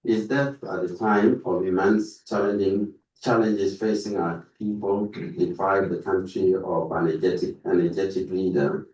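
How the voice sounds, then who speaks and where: Man, deep mellow voice, halting formal reading, conference speech, roomy sound away from the microphone. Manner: halting reading, stumbles over words and repeats them. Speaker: speaker reading out a written tribute. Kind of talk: reading a prepared text aloud at a conference. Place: indoor conference room. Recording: roomy echo, distant microphone.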